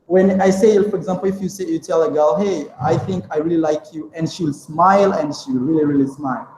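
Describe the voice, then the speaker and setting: A man's voice: a little high, brisk, a little mellow, slight background noise. A young man, a guest telling stories in a casual interview, rambling with lots of fillers and restarts. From Kenya. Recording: echoey room with long reverb.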